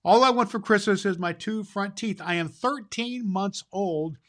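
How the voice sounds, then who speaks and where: A man's voice: high-pitched, normal speed, a bit bright. A man playing a jolly, kindly Santa Claus hosting a children's holiday show, folksy and rambling, repeating phrases for emphasis. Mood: jolly, excited. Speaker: host.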